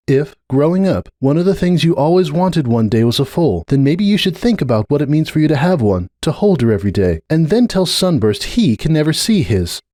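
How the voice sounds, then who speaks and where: An earnest cartoon pony mare, acted out by a male reader in a fan story reading. The voice sits well above a man's usual talk and swings widely in pitch as she argues with insistent, exasperated emphasis. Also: mellow, no room sound, clean studio sound. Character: earnest, exasperated female cartoon pony pleading her case.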